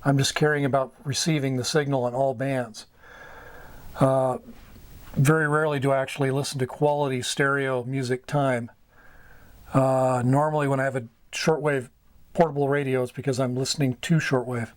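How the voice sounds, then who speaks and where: A middle-aged man giving a casual tutorial as host, speaking haltingly in short phrases with pauses. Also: medium pitch, little pitch movement, no echo. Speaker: host of a hobby radio tutorial. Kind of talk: casual whiteboard explainer. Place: small wood-paneled indoor room. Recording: clear background noise, room ambience.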